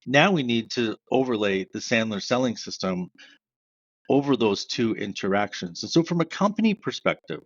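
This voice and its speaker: A man from Canada, a sales trainer who is a guest on an interview podcast, explaining ideas step by step with examples. He describes himself as a loud, fast talker. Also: mid-range voice, very animated, no echo. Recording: dry, slightly dull sound.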